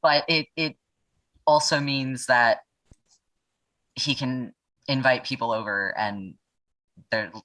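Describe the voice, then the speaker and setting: A woman tells an improvised tabletop game story in a notably low voice with a bright, crisp edge. She talks casually and thinks out loud, feeling her way from phrase to phrase. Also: fairly even intonation, takes it slowly, long pauses, poor audio. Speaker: tabletop role-playing game player.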